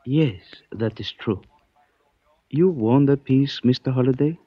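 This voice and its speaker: An actor playing a suave male antique dealer who speaks in stilted, formal phrases, measured and evasive, in 1940s radio style. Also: medium pitch, husky, dry recording. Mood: cryptic, courteous. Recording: dull dry old radio recording.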